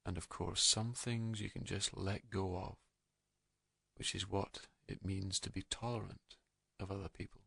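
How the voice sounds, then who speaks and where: A man narrating a guided meditation in a calm, gentle, soothing manner, leaving unhurried pauses between soft phrases. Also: low-pitched, creaky, slightly rough, no room sound.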